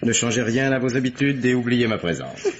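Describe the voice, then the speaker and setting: An actor playing a polite, formal police inspector in a radio mystery. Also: male voice, mid-range voice, fairly quick, close to an everyday voice. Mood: courteous. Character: courteous, unobtrusive police inspector. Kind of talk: old French radio mystery acting. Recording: muffled, noisy old radio recording.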